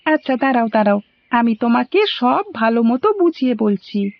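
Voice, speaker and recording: A woman's voice: fairly high pitch, sing-song, normal speed, creaky, dry recording. A woman voicing a cheerful, helpful little schoolboy in a cartoon, with a Bangladeshi accent. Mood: eager, explaining. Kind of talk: animated cartoon dialogue. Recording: dull lo-fi audio.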